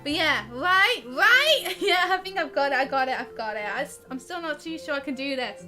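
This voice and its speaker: High-voiced giggly woman streamer over game music. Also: normal speed. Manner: giggly, chatty, expressive. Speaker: live streamer playing a game. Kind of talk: casual livestream chat with reactions and read-along comments.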